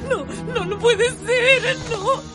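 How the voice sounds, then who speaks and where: A woman's voice: very high-pitched, takes it slowly. An actress playing a devastated, grieving Chilean mother in a radio drama, wailing and pleading. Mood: anguished disbelief. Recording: loud music behind.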